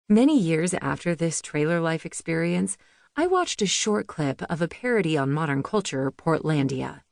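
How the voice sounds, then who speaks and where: Woman narrating, lively pitch, dry sound. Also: creaky, no room sound. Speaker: audiobook narrator. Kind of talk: scripted narration, audiobook memoir reading.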